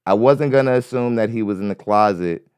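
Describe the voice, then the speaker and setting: A man's voice: on the low side, a little fast, a little mellow, a little hiss or hum. Light-voiced man hosting a podcast. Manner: rambling, repeats himself, curses freely. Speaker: podcast host. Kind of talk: casual commentary on a clip. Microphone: studio mic.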